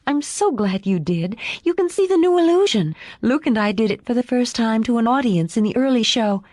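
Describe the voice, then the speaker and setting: A woman's voice: on the high side, expressive, a little fast, a little mellow, dry recording, clean studio sound, pitched above the performer's own voice. A woman voicing an eager, trusting young girl as a character in an audiobook. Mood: excited. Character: young girl, eager, trusting, easily upset, loving daughter.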